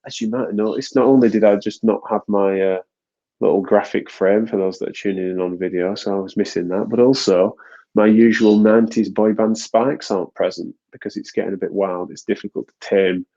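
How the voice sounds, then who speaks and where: A man's voice: fairly low pitch, mellow, highs cut off. A man hosting a casual live podcast, rambling and self-deprecating, a bit nasal with a stuffy nose. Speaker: podcast host. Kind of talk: casual solo live show.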